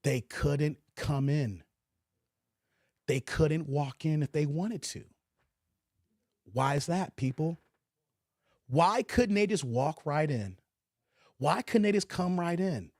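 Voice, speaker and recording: High-pitched American man, emphatic commentator. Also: takes it slowly, long pauses, a little mellow, no room sound, clean studio sound. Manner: emphatic, repeats points, poses rhetorical questions. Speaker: commentator hosting his own channel. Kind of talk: opinionated livestream commentary on a film.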